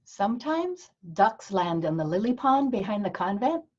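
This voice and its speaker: A woman's voice: medium pitch, sing-song, moderate pace, a little mellow. A woman playing a naive, sheltered, wistful nun in an earnest comedic table read. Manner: innocent, earnest, easily scandalized. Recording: dull, lo-fi video call audio.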